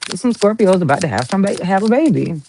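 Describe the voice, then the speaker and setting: A woman's voice: medium pitch, expressive, fast talker, mellow, a little hiss or hum. A woman with a Southern US accent giving a chatty, rambling tarot reading, playful and talkative as she pulls cards. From Southern United States. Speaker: tarot reader.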